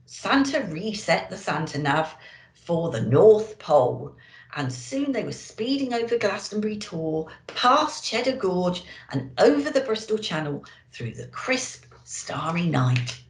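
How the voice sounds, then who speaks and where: Slow, animated woman storyteller in echoey room. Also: fairly low pitch. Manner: expressive, addressing children directly. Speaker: children's storyteller in character as an elf. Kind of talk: animated picture-book reading for young children. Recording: roomy, echoey indoor sound.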